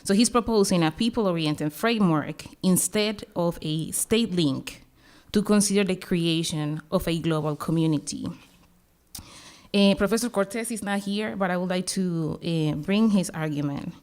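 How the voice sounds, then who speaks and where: A woman's voice: on the low side, normal speed, darkish tone. A woman from Colombia with a Colombian accent, speaking English as a second language, giving a panel discussant's commentary with some hesitation. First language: Spanish. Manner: hesitant, with fillers and restarts. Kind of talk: academic conference commentary.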